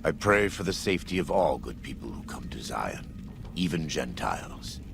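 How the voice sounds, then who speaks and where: A man playing a solemn, devout war leader in a video game, gravelly, measured and gravely courteous. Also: very deep voice, big pitch swings, over music, heavily acted. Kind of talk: video game character dialogue.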